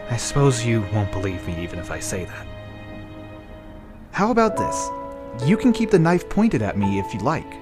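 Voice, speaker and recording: An actor playing a gentle, dutiful young worrywart of an eldest brother in an anime-style manga dub, earnest and reassuring. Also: male voice, mid-range voice, lightly acted.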